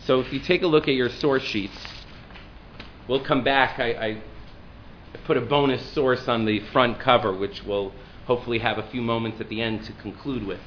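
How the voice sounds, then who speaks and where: A man with a deep voice, a rabbi giving a lecture, talking thoughtfully with restarts and fillers. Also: fairly even intonation, normal speed, highs cut off, a little hiss or hum. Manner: thoughtful, self-deprecating, with frequent restarts and fillers. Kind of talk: classroom lecture.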